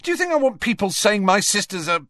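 A man voicing an indignant, hot-headed teenage boy with a loud, blustering manner, reading from a storybook. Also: high-pitched, very animated, quick pace, dry recording, clean studio sound, pitched above the performer's own voice.

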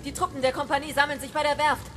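A woman's voice: sits high, little pitch movement, fast talker, a bit bright, a little hiss or hum. An actress playing a loyal, anxious young female rebel fighter who speaks in an urgent, deferential way. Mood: urgent, worried.

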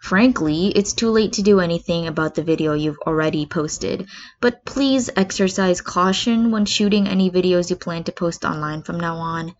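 A woman's voice: lowish voice, darkish tone, close to an everyday voice. A woman reading the part of a stern, weary male schoolteacher in a story. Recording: dull lo-fi recording.

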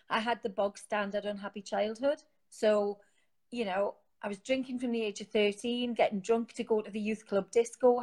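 Geordie woman, interview guest, casual, rambling, phone mic. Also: medium pitch. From Newcastle, England. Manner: chatty, rambling, with many fillers and restarts. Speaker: guest, founder of an online support group. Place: home, sitting on a sofa.

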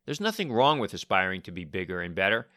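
A man's voice: deep voice, sing-song, fast, pushed and bright, no room sound, clean studio sound. A man hosting an interview podcast in a conversational style.

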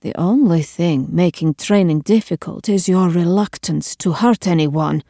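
A woman's voice: on the low side, unhurried, creaky, dark tone, no echo, studio quality. A woman voicing a stern, imperious queen in an audiobook story, cold, clipped and commanding. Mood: sharp, commanding. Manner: clipped, authoritative, scolding.

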